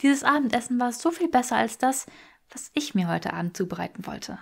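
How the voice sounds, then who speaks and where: A polite but exasperated young woman in a fantasy audiobook, voiced by a woman narrator. Her voice sits a little high, measured yet faintly flustered and defensive. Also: lively intonation, gravelly.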